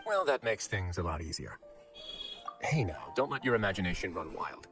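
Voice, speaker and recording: Casting: composed fortress warden for a game, fairly deep, smooth-talking, assured and courteous. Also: male voice, big pitch swings, moderate pace, fairly bright tone. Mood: matter-of-fact. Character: self-assured, composed adult male prison fortress administrator, shrewd, courteous. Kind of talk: anime game voice acting. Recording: faint background music.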